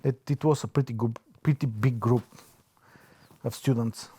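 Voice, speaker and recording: A man with a Bulgarian accent, reflecting in an interview, pausing often to search for his words. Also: mid-range voice, fairly even intonation, dark tone, breathy edge. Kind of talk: reflective oral history interview.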